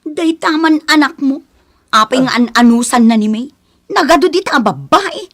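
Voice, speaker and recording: A gossiping middle-aged auntie with a highish voice. She is insistent, scornful and insinuating, speaking in Ilocano radio drama style with a Filipino accent. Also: expressive, normal speed, fairly bright tone. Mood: gossipy, insinuating.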